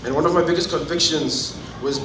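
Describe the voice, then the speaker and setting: A man in his twenties from Fiji with a Fijian accent, giving a personal testimony to a group in an earnest, halting way. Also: fairly high pitch, fairly even intonation. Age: 20s. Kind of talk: personal testimony, informal public speaking. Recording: roomy, distant mic, noticeable background noise.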